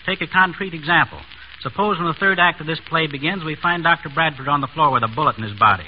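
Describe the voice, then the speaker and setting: A man's voice: on the high side, quick pace. A middle-aged man giving formal, scripted answers as an expert guest in a vintage radio interview. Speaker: physician criminologist, interview guest. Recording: dull, noisy vintage radio recording.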